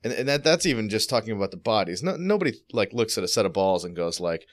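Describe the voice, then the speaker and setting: Lowish voiced dude rambling on a podcast, blunt and crude, lots of restarts. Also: male voice, very animated, fast. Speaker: podcast co-host. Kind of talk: casual chat.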